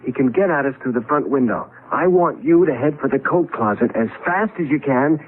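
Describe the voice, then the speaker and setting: An actor playing a desperate, insistent husband trapped in the past, in 1970s radio drama style on a thin old broadcast recording. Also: male voice, mid-range voice, expressive, brisk, slightly rough, phone-quality sound. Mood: urgent. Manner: urgent, insistent, emphatic.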